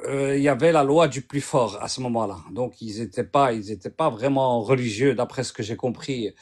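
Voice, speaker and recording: A man from northern Morocco calling into a debate, talking haltingly with many restarts while he searches for words. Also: mid-range voice. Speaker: caller in a debate. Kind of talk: hesitant casual argument.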